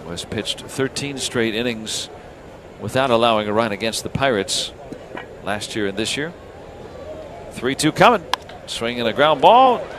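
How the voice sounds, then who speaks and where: Male baseball radio announcer, mid-pitched play-by-play commentary, clear outdoor stadium noise behind. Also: animated, unhurried. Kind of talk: live radio sports commentary.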